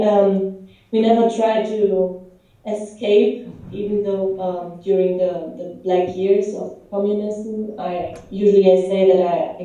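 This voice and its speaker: A woman who grew up in Romania speaks slowly and haltingly, filling her pauses with ums. Her voice is very dark and mellow. She sounds far from the microphone in an echoey pub room, and the sound is dull. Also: medium pitch. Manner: hesitant, many ums and restarts. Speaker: film director. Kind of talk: interview answers.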